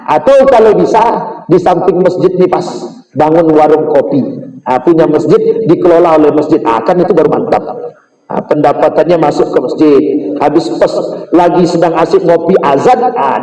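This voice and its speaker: A man's voice: high-pitched, warm tone. A man preaching an Islamic sermon, emphatic and often putting questions directly to his listeners. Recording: roomy echo, distant from mic.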